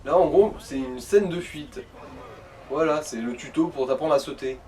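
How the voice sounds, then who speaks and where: Distant, higher-voiced man guiding a player. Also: normal speed, warm tone. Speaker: let's play co-commentator. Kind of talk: casual gaming commentary. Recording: distant mic, faint noise.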